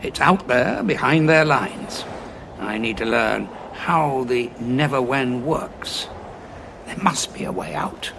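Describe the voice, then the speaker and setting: An actor playing a weary male time traveller in an audio drama, probing and dry-witted, with a gravelly voice. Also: mid-range voice, takes it slowly, noisy background. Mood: resolute.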